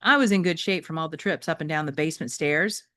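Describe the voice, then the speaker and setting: A woman voicing a wry, wistful three-legged shelter dog who loves food, telling a children's story in an expressive, conversational way. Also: low voice, quick pace, no echo, studio quality. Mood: wry, puzzled. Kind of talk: first-person children's novel read aloud.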